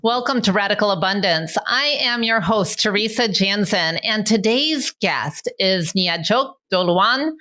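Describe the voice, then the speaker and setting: An eager woman from Michigan hosts an interview show, her voice bright and forward. She talks with open enthusiasm and breaks into laughs between questions. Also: mid-range voice, animated, measured pace, few pauses. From Michigan, United States. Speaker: podcast host. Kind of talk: conversational interview. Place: home in South Sudan.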